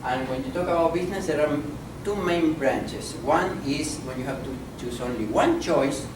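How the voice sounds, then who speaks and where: Man, academic lecturer, deliberate and halting; distant, echoey, noisy room recording. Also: on the high side. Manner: deliberate, halting, many fillers. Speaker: visiting professor and speaker. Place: conference room.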